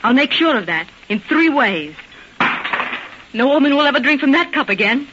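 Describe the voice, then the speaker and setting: A woman's voice: sits high, sing-song, moderate pace. An actress playing a proud, scorned society woman in an old-time radio drama, melodramatic and imperious. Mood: vengeful, dramatic. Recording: dull, noisy old radio recording.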